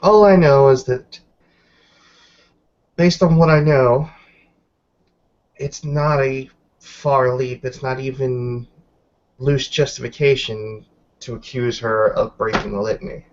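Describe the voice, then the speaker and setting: Stern, rule-minded werewolf woman played by a man, measured and serious, tabletop game, dull lo-fi sound. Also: mid-range voice, fairly even intonation, close to an everyday voice.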